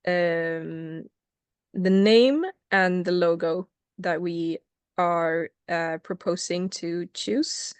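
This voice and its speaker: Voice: medium pitch, clear, resonant tone, no echo. Non-binary student hosting a video call. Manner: hesitant, many fillers. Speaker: meeting chair. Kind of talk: casual meeting talk.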